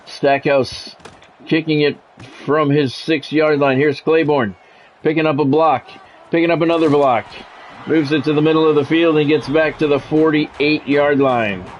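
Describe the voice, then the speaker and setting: A man's voice: a little high, steady tone, normal speed, dull audio, a little hiss or hum. A man doing play-by-play commentary on a football video game in a conversational way, with wry asides. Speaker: play-by-play announcer.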